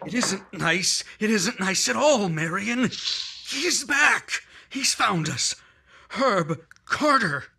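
Brief: actor as a rattled 1940s radio husband, bright, slightly husky, slow but jumpy. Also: male voice, sits high, animated, full character voice. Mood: anxious. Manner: anxious, stammering. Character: nervous guilty husband, on edge.

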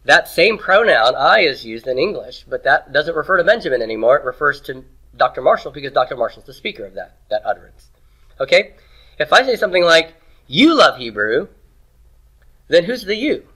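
A man's voice: a little high, animated, moderate pace, bright, forceful tone, rough recording. A man teaching a Hebrew grammar class in a classroom, explaining patiently in a conversational way. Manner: explanatory, conversational, occasional throat clearing. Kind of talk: classroom lecture. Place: classroom in Texas.

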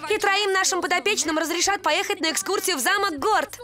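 A woman playing a lively, assured young supernatural girl in an animated series dub. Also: very high voice, a little fast, over music. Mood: cheerful.

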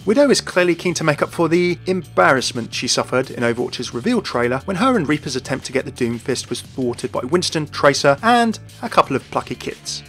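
A man's voice: on the high side, sing-song, fairly quick, hardly any pauses, fairly bright tone, faint music behind. A man hosting a video, reading scripted commentary on a video game. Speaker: video host.

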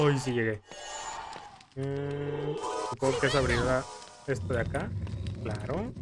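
Male gamer, easygoing running commentary, medium voice, game music clearly behind. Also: mid-range voice. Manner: chatty, thinks aloud, fond of diminutives. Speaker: gaming YouTuber. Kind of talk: casual let's play commentary.

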